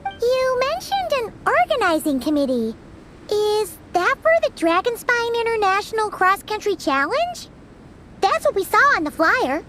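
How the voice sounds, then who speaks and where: A woman voicing a tiny, excitable childlike fairy companion in a video game, squeaky and perky. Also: unhurried, noisy background, full character voice. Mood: curious, friendly. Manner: perky, refers to itself in third person. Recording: loud music behind the voice.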